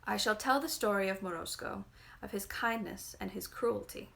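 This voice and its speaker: Storybook elderly nanny, read by a woman: stern, precise, dignified, measured delivery of old tales. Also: mid-range voice, vocal fry, pushed and bright. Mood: tart, dignified. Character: tart, dignified old Russian nursemaid telling fairy tales.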